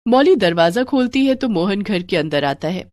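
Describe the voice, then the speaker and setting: A woman's voice: medium pitch, lively intonation, moderate pace, a little mellow, no echo, clean studio sound. A woman narrating a scripted moral bedtime story for children.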